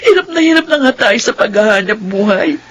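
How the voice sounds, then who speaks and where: A woman's voice: mid-range voice, animated, brisk, natural voice, low-quality recording. A woman playing an anxious, loving mother in a Filipino radio drama, with an urgent, emotional and pleading delivery. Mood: sorrowful.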